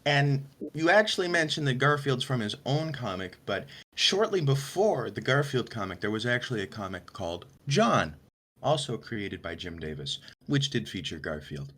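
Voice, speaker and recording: A man with a low-middle voice speaks in a lively, bouncing way, adding a know-it-all correction. His pitch swings widely as he talks. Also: moderate pace.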